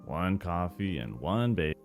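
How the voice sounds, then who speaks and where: Guy doing a stern school staff guy for a cartoon, really deep n super slow, clipped lines. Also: heavily acted. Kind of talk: animation voice acting.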